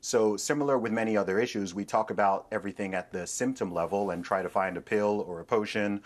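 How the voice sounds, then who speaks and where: A man with a low, grounded voice speaks as a TV debate guest. He works through his case step by step, stacking one point onto the next in an even, measured delivery. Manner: steady, builds points in lists. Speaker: guest commentator. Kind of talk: measured on-air commentary.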